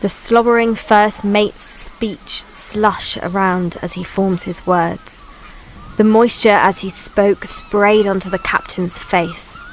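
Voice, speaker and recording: A woman playing the sea itself as a watchful spirit, narrating a radio play in a measured, poetic way. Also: medium pitch, slight background noise, poor audio. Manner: measured, literary storytelling.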